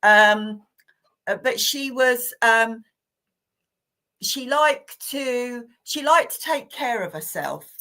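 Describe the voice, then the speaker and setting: A woman giving live psychic readings to online viewers, talking gently and hesitantly with many fillers and restarts. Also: a little high, expressive, slow pace.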